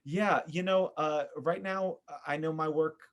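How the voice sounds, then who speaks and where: A man speaking as a podcast guest in a casual interview, earnest and effusive, often pausing to think. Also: on the high side, steady tone. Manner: earnest, effusive, halting with thoughtful pauses. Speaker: podcast guest, theater performer.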